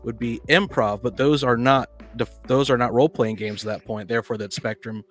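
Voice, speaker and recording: A man's voice: mid-range voice, steady tone, fairly quick. Guy on a podcast debating, pushy and argumentative, cuts in a lot, bit of music behind him. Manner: argumentative, insistent, interrupting. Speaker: podcast panelist. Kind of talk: casual debate.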